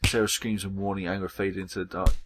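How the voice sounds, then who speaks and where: A man's voice: sits low, level tone, fast talker, noisy background, lightly acted. A man playing an anguished, hot-tempered male visual novel protagonist who tells his own story dramatically. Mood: grim, intense. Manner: dramatic first-person storytelling. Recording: music behind the voice.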